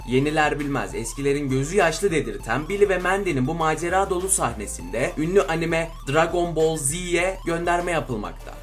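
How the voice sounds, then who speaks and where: A man reading scripted narration for a list video. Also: on the high side. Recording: voice-over with music underneath. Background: background music.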